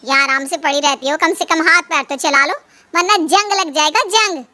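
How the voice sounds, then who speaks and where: A scheming elder daughter-in-law from an animated family story, as a woman puts her on. She taunts and sneers in a very high, bright voice. Keep her mockery steady and cutting. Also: moderate pace, soft background music. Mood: mocking.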